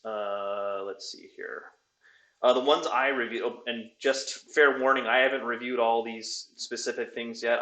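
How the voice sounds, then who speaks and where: A man hosting a casual chat about a tabletop wargame, talking in a relaxed, halting way with many fillers. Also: fairly low pitch, roomy sound. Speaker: podcast host. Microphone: new desktop microphone.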